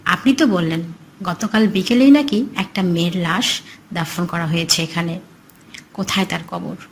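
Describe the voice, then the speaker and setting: A woman's voice: on the low side, moderate pace, a little hiss or hum, close to an everyday voice. A woman voicing a secretive, sinister male grave robber with a firm, guarded manner in a horror cartoon story.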